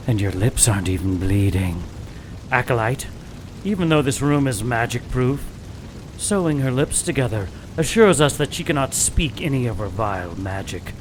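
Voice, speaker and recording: A man playing a sadistic religious zealot in an audio drama, taunting and menacing with deliberate, preachy phrasing. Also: mid-range voice, a little hiss or hum, close to an everyday voice, rough recording. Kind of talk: audio drama villain acting.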